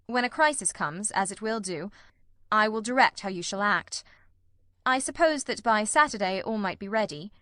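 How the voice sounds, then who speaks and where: A woman voicing a self-assured, authoritative male gentleman detective in an audiobook story. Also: medium pitch, expressive, normal speed, dry recording, clean studio sound.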